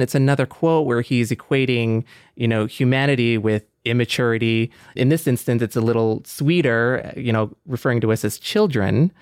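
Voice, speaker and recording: A man co-hosts a podcast in a conversational style. His voice sits at an average pitch, recorded dry with no room sound. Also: clear, resonant tone, no echo. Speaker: podcast co-host.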